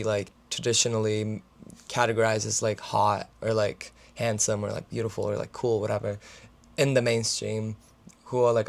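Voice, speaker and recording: A young man in his twenties, a student, chatting casually to camera in a rambling vlog, full of fillers, his voice raspy right now. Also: fairly low pitch, a bit bright. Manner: filler-heavy, talks with his hands. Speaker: student vlogger.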